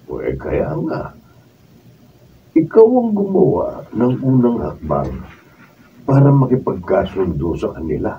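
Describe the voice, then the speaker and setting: A man's voice: fairly low pitch, wildly swooping pitch, a little slow, long pauses, muffled recording, exaggerated voice. A man playing a wise, consoling elderly brother in a radio drama, earnest and philosophical, with a Filipino accent. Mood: earnest, encouraging. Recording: distant, phone-thin, noisy room recording.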